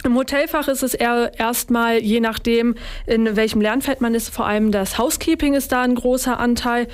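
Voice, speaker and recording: A woman's voice: fairly high pitch, moderate pace, clear, resonant tone. A woman, a newly qualified hospitality worker speaking steadily as a radio interview guest. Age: 20s. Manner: steady, explanatory, some fillers. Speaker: recent hospitality trainee.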